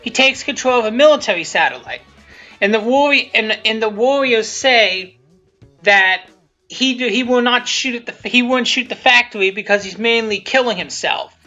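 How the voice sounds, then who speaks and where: A woman giving casual, unscripted video commentary, rambling and haltingly restarting her sentences as she goes. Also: fairly high pitch, bright, forceful tone. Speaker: creator of a fan abridged series giving commentary. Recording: dull lo-fi sound with music underneath. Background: music from the video being commented on.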